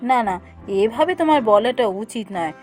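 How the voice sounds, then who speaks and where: A woman's voice: fairly high pitch, animated, normal speed, a little mellow, soft background music, close to an everyday voice. A woman voicing a caring, insistent young village wife in a cartoon, earnest and pleading. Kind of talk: cartoon voice acting.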